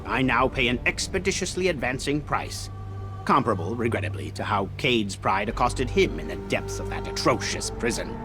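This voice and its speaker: A man's voice: mid-range voice, lively intonation, moderate pace, close to an everyday voice. Man playing a pompous, swooping, foreboding scholar. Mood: rueful, pompous. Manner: pompous, ornate, precise. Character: haughty, verbose scholarly man, regretful and foreboding. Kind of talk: video game character acting. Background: music behind the voice.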